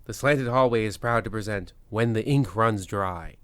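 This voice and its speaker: A man's voice: on the low side, very noisy. A man hosting and narrating a comedy horror radio show in a theatrical, mock-spooky way, drawing out his lines dramatically. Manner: theatrical, drawn-out dramatic pauses. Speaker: narrator and host.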